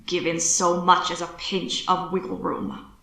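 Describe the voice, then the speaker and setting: Woman doing a stern, lowered-voice domineering father. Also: flat delivery, gravelly, fairly bright tone, roomy sound. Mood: menacing. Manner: harsh, slow, emphatic. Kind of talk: audiobook character dialogue.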